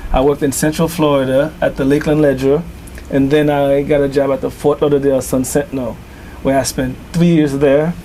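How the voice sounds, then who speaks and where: A man from Haiti, founder of a newspaper, answering interview questions in a measured storytelling way. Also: medium pitch, level tone, mellow, slight background noise.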